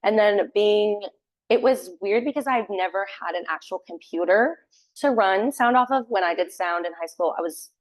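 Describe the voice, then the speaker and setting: A young woman with a loud voice, a theater sound tech and assistant stage manager, chatting casually in a podcast interview and filling her talk with "like". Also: medium pitch, moderate pace, rough recording. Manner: chatty, many fillers like "like".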